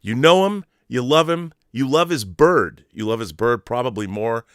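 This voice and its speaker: Male, American, talk show host, effusive, theatrical delivery, middling pitch, dry close sound. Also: moderate pace, a bit bright, no room sound. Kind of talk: showman intro, then casual fan interview.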